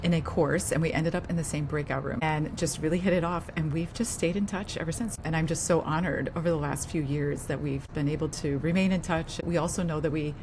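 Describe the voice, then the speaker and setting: A middle-aged woman hosting a podcast, giving a smooth, polished introduction and welcoming her guest. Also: deep voice, steady tone, fairly quick, few pauses, noisy background. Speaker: podcast host and coach. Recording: music under the voice.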